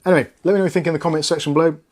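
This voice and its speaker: A British man giving a casual, conversational tech review in a measured, frank way. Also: a little high, steady tone. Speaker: product review vlogger.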